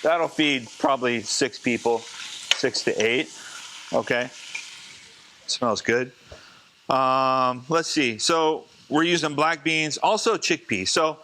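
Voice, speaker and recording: A man, a chef, teaching a live cooking demonstration in a casual, chatty way, slightly hoarse as he is losing his voice. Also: medium pitch, pushed and bright. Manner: casual, chatty, talks to the class.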